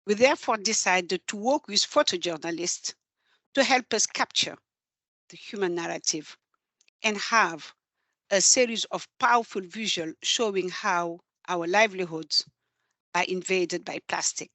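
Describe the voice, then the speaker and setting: A woman with a Senegalese accent presenting in an earnest, measured, storytelling way in a prepared talk. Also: fairly low pitch, fairly bright tone, no room sound. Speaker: presenter from a development organisation.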